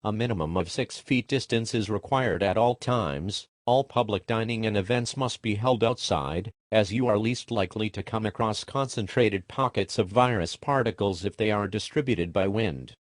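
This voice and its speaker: A man's voice: on the low side, normal speed, hardly any pauses, dry recording, studio quality. A man narrating a scripted emergency broadcast in a formal, impersonal announcer manner.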